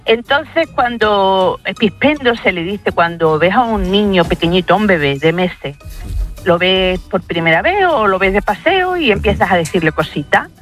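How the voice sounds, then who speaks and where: A woman's voice: medium pitch, lively intonation, normal speed. Extremaduran woman calling a radio show. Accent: Extremaduran Spanish. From Extremadura, Spain. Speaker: radio phone-in caller. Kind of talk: casual anecdotal explanation. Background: faint music.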